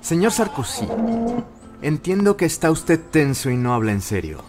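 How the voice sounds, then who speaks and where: An actor playing a courteous but firm middle-aged Mexican president in an audio drama, speaking formally. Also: male voice, on the high side, sing-song, normal speed, darkish tone, faint music behind. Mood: stern. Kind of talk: scripted audio drama dialogue.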